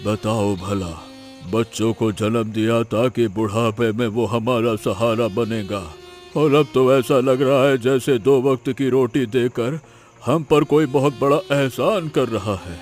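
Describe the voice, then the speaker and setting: A man's voice: medium pitch, steady tone, measured pace, a little mellow, soft background music, lightly acted. A man playing a resigned elderly village father in a cartoon story, speaking in a lamenting, fatalistic way. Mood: bitter, resigned. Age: older. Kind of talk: Hindi moral cartoon story dialogue.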